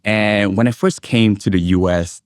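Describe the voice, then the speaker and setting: A middle-aged man from Indonesia and Singapore, an investment analyst, giving measured, reflective answers as an interview guest. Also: on the low side, dry recording, studio quality.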